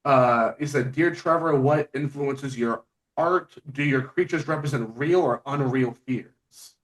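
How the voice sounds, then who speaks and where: A man hosting an informal podcast interview, with a halting, conversational delivery. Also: mid-range voice, poor audio. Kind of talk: casual interview, reading out listener questions.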